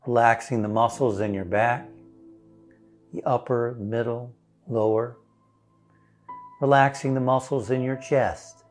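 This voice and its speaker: A man leading a guided meditation as a minister, speaking in a gentle, soothing, unhurried way with long pauses. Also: medium pitch, steady tone. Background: instrumental music.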